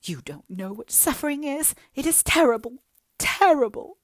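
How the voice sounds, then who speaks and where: A woman's voice: high-pitched, expressive, moderate pace, no room sound, pitched above the performer's own voice. A woman reading a book aloud and voicing a melodramatic, self-pitying young woman with gushing, theatrical talk. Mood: wailing. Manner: gushing, theatrical, breathless overstatement.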